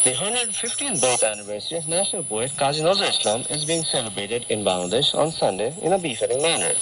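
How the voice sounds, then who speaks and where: Lively male newsreader on noisy shortwave. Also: medium pitch. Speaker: radio newsreader. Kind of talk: formal scripted news reading. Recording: noisy shortwave radio reception.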